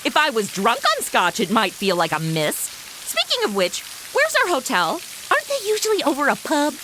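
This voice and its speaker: High-voiced, sarcastic American sidekick. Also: female voice, wildly swooping pitch, moderate pace, sharp tone, exaggerated voice. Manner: sarcastic, slangy, whiny. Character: brash, sarcastic, complaining modern American woman sidekick. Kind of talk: comedy audio drama acting. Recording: noisy, rain-like hiss behind.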